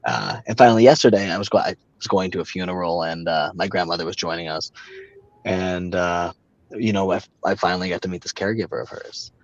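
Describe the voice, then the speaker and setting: Young man, very low voice, halting and hesitant, dry muffled sound. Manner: halting, many fillers and restarts. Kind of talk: casual storytelling.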